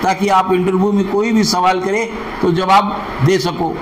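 A man's voice: high-pitched, steady tone, normal speed. A man, explaining things as a senior technical instructor in an interview. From India. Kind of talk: explaining in an interview before a class. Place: small classroom, indoors. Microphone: clip-on lapel mic. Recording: noisy room ambience.

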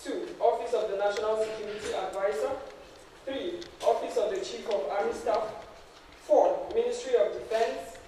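A young woman serving as master of ceremonies, reading a formal introduction from notes at a podium in a large conference hall. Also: fairly high pitch. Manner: steady, measured reading from notes. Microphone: podium microphone, heard from a distance. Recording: very echoey hall, distant mic, faint noise.

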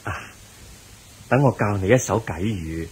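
A man with a Hong Kong Cantonese accent playing a kindly, wise Buddha in a radio drama, gentle, measured and instructive. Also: lowish voice, expressive, husky. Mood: gently advising.

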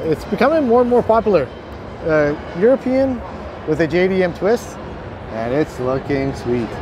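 A man in his thirties hosting a casual vlog, upbeat and teasing, filmed on a handheld camera in a large indoor show hall. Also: mid-range voice. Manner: upbeat, laughs often, teasing. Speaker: vlogger host. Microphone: handheld camera held close. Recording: noisy crowded indoor hall. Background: crowd chatter and hall noise.

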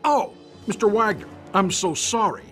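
An actor playing a nervous, apologetic newspaper reporter in a video game, flustered and ingratiating. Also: male voice, high voice, animated, moderate pace, fairly bright tone, soft background music. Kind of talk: video game cutscene acting.

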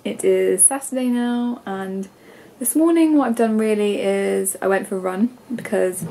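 A woman's voice: mid-range voice, unhurried, creaky, dark tone. Stuffy-nosed young English woman vlogging. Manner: rambling and chatty, many ums and likes. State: congested, stuffy nose. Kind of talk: casual chatty vlog. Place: bedroom at home. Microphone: camera held at arm's length.

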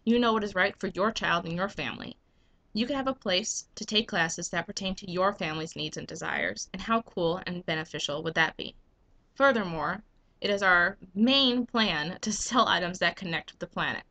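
Bright-voiced young woman with a cold. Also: lowish voice, moderate pace. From United States. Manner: earnest, emphatic, rhetorical questions. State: getting a cold. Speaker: vlogger and business owner. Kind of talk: persuasive webcam vlog. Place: small indoor room at home. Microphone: webcam at desk.

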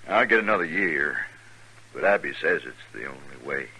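Creaky, low, weary western ex-convict. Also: male voice, expressive, sharp tone, full character voice, poor audio. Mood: weary, contrite. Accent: American. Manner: halting, plain rustic speech. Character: weary, repentant ex-convict in the Old West. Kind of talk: 1950s radio western acting. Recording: 1950s radio recording.

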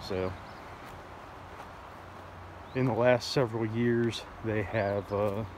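A man's voice: on the low side, steady tone, takes it slowly. A man hosting a fishing vlog, rambling casually with plenty of fillers as he walks a creekside access point outdoors. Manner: rambling, chatty, with frequent fillers. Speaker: fishing channel host. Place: outdoors by a creek near a road bridge. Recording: outdoor camera audio with loud road noise. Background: loud outdoor ambience and passing traffic.

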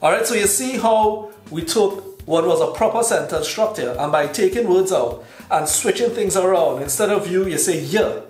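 A man's voice: sits high, normal speed. A man in his thirties with a Trinidadian accent, casually explaining to the camera as a video teacher. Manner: relaxed, explanatory, gestures while talking. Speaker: YouTube host teaching. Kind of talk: casual talking-to-camera lesson. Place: indoors in front of a plain backdrop. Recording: echoey room with faint music.

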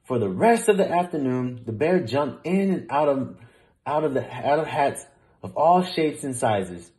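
A man narrates a children's picture book aloud. His pitch is middling for a man and rises and falls in an animated way. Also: unhurried, rough recording. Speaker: storybook reader. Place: small room at home, indoors.

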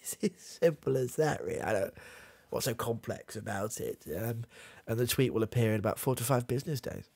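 A man's voice: medium pitch, a little fast, creaky, hardly any pauses, a little mellow, no echo. A man doing a muddled, proud British grandad. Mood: rambling. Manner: rambling, hesitant, full of fillers and restarts. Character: muddled, proud old grandad, clueless with technology. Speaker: ASMR comedy creator. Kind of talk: comedy ASMR roleplay. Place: small dim room with coloured lights. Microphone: close mic, face near camera.

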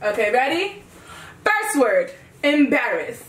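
A woman calling out words to spell, teasing her friends loudly and shrieking when they miss. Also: fairly high pitch, sing-song, takes it slowly. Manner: loud, teasing, shrieks at wrong answers. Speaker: word caller and friend. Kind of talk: casual chat among friends. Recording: roomy echo, distant mic, faint noise.

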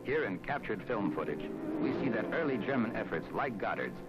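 Deep-voiced man narrating an archival documentary. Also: big pitch swings. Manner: measured, dramatic, formal delivery with weighty pauses. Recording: dull, lo-fi archival film soundtrack. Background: prominent background music.

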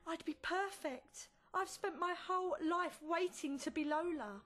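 A woman's voice: extremely high pitch, a little slow, a bit bright. A woman acting in a comedy radio play as a young aspiring showgirl, an exasperated but eager daughter. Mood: pleading. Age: young adult.

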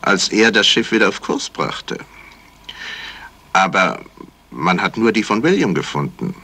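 An actor playing a courteous, self-assured gentleman sleuth in a 1970s radio crime drama, polite and soothing. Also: low voice, sing-song, unhurried, vocal fry, exaggerated voice. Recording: vintage radio recording, faint hiss.

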